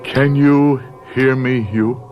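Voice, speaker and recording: An actor playing a grave middle-aged doctor in a radio drama, speaking in a measured, probing, controlled manner. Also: male voice, medium pitch, steady tone, lo-fi sound, faint music behind, natural voice. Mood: stern. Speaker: doctor, narrator. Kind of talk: radio drama acting with narration.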